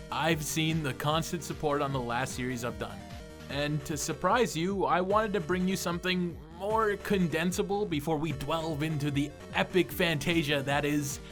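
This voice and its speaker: A man hosting a casual, offhand video introduction with animated delivery. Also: mid-range voice. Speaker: channel host, comic dub creator.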